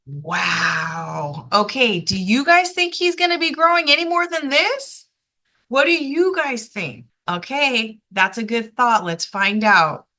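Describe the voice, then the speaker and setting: A woman reading a story aloud to children in an expressive, emphatic way, addressing young listeners directly. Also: on the high side, slow.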